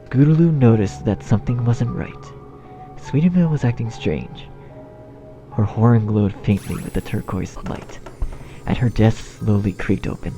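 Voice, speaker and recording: A man's voice: fairly low pitch, unhurried. A man reading scripted story narration as the narrator. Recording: dull, muffled sound under music. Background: music.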